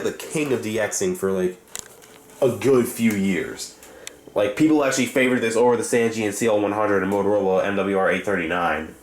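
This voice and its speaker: A man giving an unscripted, casual show-and-tell as a hobbyist video host, in a halting, rambling way with self-corrections. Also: fairly low pitch. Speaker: hobbyist video host reviewing his radio collection. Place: indoors in rooms at home. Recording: echoey room with faint background hiss.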